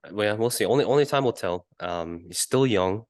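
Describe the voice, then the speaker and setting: A man co-hosting a casual football podcast, reasoning in a measured, halting way. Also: lowish voice, expressive, no echo, studio quality. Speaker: podcast co-host.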